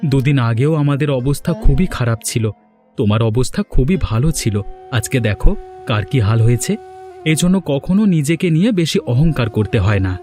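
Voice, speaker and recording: A man's voice: mid-range voice, expressive, brisk, warm tone, natural voice. A man voicing a concerned, moralising villager in a fairy-tale cartoon. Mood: sober, moralising. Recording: faint music behind the voice.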